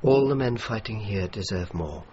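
Actor as earnest army officer, muffled noisy recording. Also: male voice, fairly low pitch, animated, dark tone, natural voice. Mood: earnest, persuasive. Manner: formal, measured, persuasive. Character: earnest, determined male army officer and former newspaperman. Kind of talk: radio drama dialogue and narration. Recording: muffled radio recording with clear noise.

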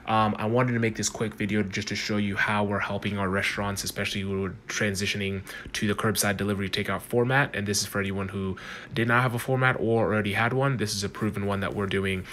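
A man's voice: lowish voice, fairly even intonation, a bit bright, a little hiss or hum. A man running a casual walkthrough of a chatbot on his phone, talking loosely with restarts. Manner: quick, chatty, loose with restarts. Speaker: consultant demoing a chatbot. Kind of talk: casual screen-recorded walkthrough.

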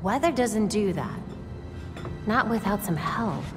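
A woman's voice: medium pitch, animated, slow. A woman playing a focused young vigilante computer expert in a video game cutscene. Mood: urgent. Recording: reverberant hall, music behind.

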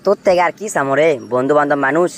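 A man's voice: fairly high pitch, racing, natural voice. A man voicing a sly young village freeloader in a comic cartoon, teasing and insistent, with a Bogura accent from northern Bangladesh. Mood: needling. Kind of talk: comic cartoon dialogue in rural dialect.

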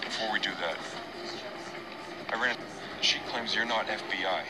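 A man's voice: on the low side, moderate pace, heavy background noise, lightly acted. An actor playing an earnest, determined young male action hero in a dramatic survival horror video game. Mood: hurt, resolute.

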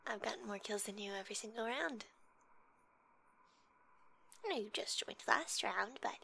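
Bright, teasing gamer girlfriend, played by a woman. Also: on the high side, vocal fry, full character voice. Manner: teasing, casual, often dropping into whispers. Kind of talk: ASMR roleplay.

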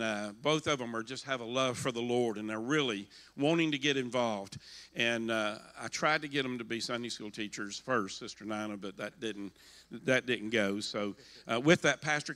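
An older man giving church announcements on a sanctuary stage, folksy and halting, on a handheld mic. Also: medium pitch, a bit bright. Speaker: pastor.

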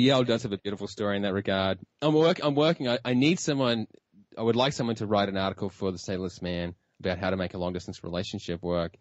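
A man hosts a talk radio program, chatting briskly with a guest and often restarting his sentences. His voice sits on the low side. The audio is dry and dull, with a lo-fi edge. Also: no echo. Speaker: radio show host.

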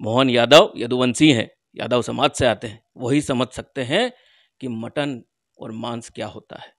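A middle-aged man giving emphatic, deliberate political answers as an organisation's spokesman in an interview, into a handheld microphone. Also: mid-range voice. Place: small furnished room indoors, seated on a sofa. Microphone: handheld reporter's microphone held close.

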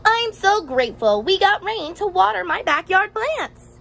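An emphatic little storybook ninja girl, voiced by a woman reading aloud, exclaims in a high voice that swings widely. Her words come slowly and with big feeling, over faint outdoor noise. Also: sing-song, vocal fry, fairly bright tone, exaggerated voice. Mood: cheerful, grateful. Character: cheerful, grateful little girl cartoon ninja, sometimes discouraged. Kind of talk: storybook character voice.

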